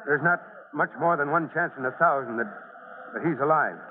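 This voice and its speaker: An actor playing a grave, commanding veteran fire chief with urgency in an old-time radio drama. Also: male voice, a little high, fairly quick, close to an everyday voice. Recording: noisy narrow-band old radio broadcast.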